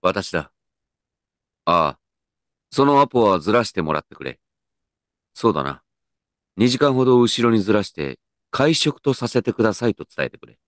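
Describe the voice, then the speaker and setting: An actor playing an earnest, dignified company president in a comedic radio drama, with pompous, measured executive speech. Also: male voice, fairly low pitch, very animated, no room sound, studio quality. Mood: businesslike.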